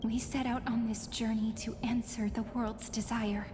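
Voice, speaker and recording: An actress as plaintive young heroine, reverberant. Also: female voice, fairly high pitch, flat delivery, moderate pace, noisy background, lightly acted. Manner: earnest, plaintive, dramatic. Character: sorrowful young heroine, earnest and questioning. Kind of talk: scripted game dialogue, emotional. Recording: long hall-like reverb. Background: game music.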